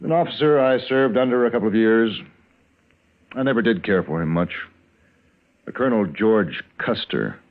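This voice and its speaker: An actor playing a brisk, dry cavalry major with clipped authority in a 1950s radio western. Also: male voice, lowish voice, expressive. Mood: wry, resigned. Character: brisk, gruff cavalry major of the Old West. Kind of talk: 1950s radio drama acting. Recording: tinny band-limited vintage radio recording.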